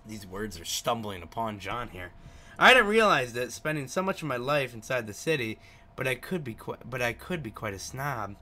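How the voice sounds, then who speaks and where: Male gaming host, bright forceful voice, reading story text aloud, tripping on words and repeating them, chatting casually between lines. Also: medium pitch, big pitch swings, moderate pace. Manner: stumbles over words, repeats and retries pronunciations. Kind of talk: let's play read-aloud of a visual novel with casual asides.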